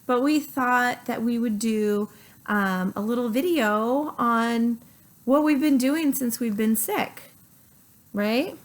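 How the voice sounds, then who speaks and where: A woman's voice: fairly high pitch, takes it slowly. A middle-aged woman doctor, feeling unwell, chatting casually and asking her child leading questions for a health video. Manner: coaxing, asks leading questions. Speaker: doctor and host.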